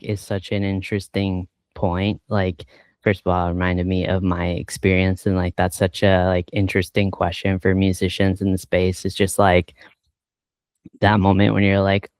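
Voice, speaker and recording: A man with a low, flat, smooth voice hosts a casual interview. He talks in a relaxed way and fills his sentences with likes. The recording is close and dry. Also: normal speed, dry recording. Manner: relaxed, filler-heavy, many likes. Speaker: interview host.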